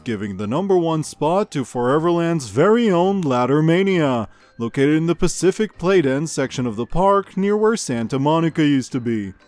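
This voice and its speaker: Male actor as chipper podcast newscaster, rambling and offhand, deadpan comedy delivery, music underneath. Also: fairly high pitch, natural voice. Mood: enthused. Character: chipper, rambling male amusement park news podcast host. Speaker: podcast host. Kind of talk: scripted comedic news monologue.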